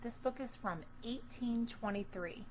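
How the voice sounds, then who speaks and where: Lady doing kids storytime, reads rhymes slowly and expressively, some background noise. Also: fairly high pitch, darkish tone, poor audio. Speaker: storytime reader. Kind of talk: children's read-aloud of a rhyming poem.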